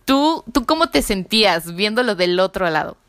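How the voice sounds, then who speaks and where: A woman hosting a casual podcast interview, chatty and quick to laugh. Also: mid-range voice, big pitch swings, fairly bright tone, no echo, clean studio sound. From Mexico. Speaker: podcast host.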